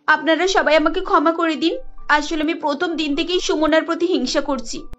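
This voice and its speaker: এক নারীর অভিনয়ে চড়া গলার অনুনয়ী তরুণী বউ. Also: female voice, high voice, fairly even intonation, normal speed, fairly bright tone, muffled recording, faint music behind. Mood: apologetic. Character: jealous young daughter-in-law, earnest and pleading. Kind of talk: cartoon character voice.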